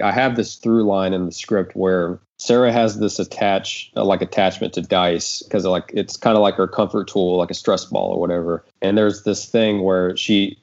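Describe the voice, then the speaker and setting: A man's voice: low-pitched, a little mellow. A man hosting a casual panel discussion, talking in a rambling, conversational way peppered with filler words. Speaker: host and scriptwriter of an audio drama. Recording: dull, lo-fi audio.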